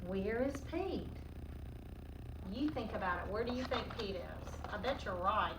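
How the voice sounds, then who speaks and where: A woman's voice: mid-range voice, animated, measured pace. A woman teacher doing a children's read-aloud lesson in an instructive, questioning, sing-song manner. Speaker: teacher reading a picture book aloud to children. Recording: roomy, distant mic, noticeable background noise.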